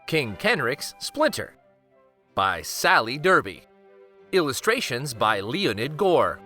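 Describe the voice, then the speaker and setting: A man's voice: medium pitch, sing-song, takes it slowly, bright, forceful tone, faint music behind. A man hosting a children's read-aloud, narrating a picture book expressively.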